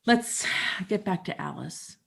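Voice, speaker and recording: A Canadian woman performing a documentary theater reading in an animated, wry manner, speaking directly to the audience. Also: on the low side, unhurried, creaky. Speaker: musician and performer.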